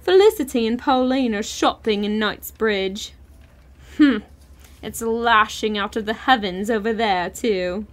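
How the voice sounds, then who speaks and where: Woman as snobbish wife, audio story, disdainful, imperious, very slow delivery, highish pitch. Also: close to an everyday voice. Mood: idle grumbling. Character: snobbish, complaining, haughty middle-aged wife.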